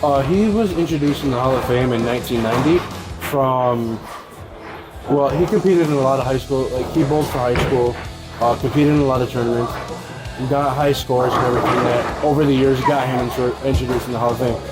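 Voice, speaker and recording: A man's voice: medium pitch, brisk, poor audio. A young man who bowls, speaking casually as an interview guest. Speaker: bowler being interviewed. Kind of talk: casual interview answers, some reading aloud. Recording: music playing behind. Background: music, in a bowling alley.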